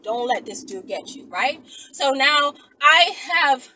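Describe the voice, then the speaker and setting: A woman telling a story casually to her viewers in an animated, emphatic, sweary manner. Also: high-pitched, slow. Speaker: channel host. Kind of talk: casual self-defense storytelling, acted-out scenario. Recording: distant mic with clear background noise.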